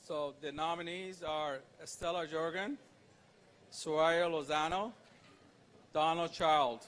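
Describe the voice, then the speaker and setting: A man's voice: a little high, fairly even intonation, takes it slowly, fairly bright tone. A man presenting an award, reading out names at a live ceremony. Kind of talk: reading out nominees on stage.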